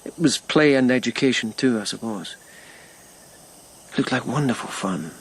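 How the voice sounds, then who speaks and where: An actor playing a thoughtful, reserved police lieutenant in ancient Japan, speaking in reflective, halting phrases in a radio drama. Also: male voice, mid-range voice, lively intonation, a little hiss or hum, natural voice. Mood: wistful, reflective. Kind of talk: naturalistic radio drama acting.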